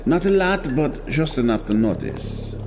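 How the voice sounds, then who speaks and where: An actor playing a proud, suspicious gentleman in an audio drama, formal and emphatic, quick to take offence. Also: on the high side, animated, noisy background. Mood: uneasy insistence.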